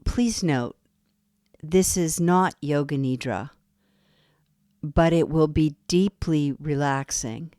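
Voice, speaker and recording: Slow, low-voiced woman guiding meditation. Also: smooth, no echo, studio quality. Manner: slow, soft and soothing, with long pauses. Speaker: meditation guide. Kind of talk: guided yoga nidra meditation.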